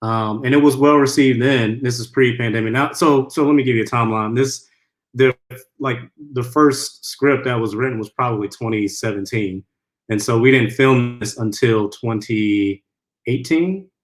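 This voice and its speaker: A man's voice: mid-range voice, fairly even intonation, normal speed. A man speaking as a film director in a conversational Q&A, earnest and reflective. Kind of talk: conversational Q&A answers.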